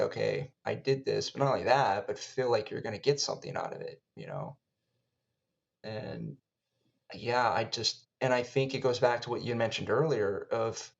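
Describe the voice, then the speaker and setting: A man hosting a conversational podcast, speaking in a wordy, halting, reflective way. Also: mid-range voice, vocal fry, lo-fi sound. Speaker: podcast host.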